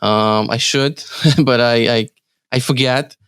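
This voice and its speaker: Ukrainian-accented man, smooth dry voice. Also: mid-range voice, steady tone, a bit bright, dry recording. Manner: halting, many restarts and fillers. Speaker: real estate team leader, guest. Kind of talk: casual interview answers.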